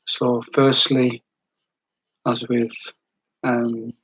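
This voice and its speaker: A man leading a guided meditation in a gentle, soothing, unhurried way, with long pauses between short phrases and a breathy edge to the voice. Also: medium pitch, flat delivery, dry recording, poor audio.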